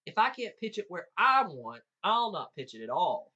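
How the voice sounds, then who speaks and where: A man's voice: high-pitched, big pitch swings, normal speed, bright, forceful tone, highs cut off, pitched above the performer's own voice, exaggerated voice. A man voicing a cocky young trickster boy in a folktale, boasting in a folksy drawl with an Appalachian accent. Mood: boastful. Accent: Appalachian American. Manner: drawling, folksy bluffing, hollering calls.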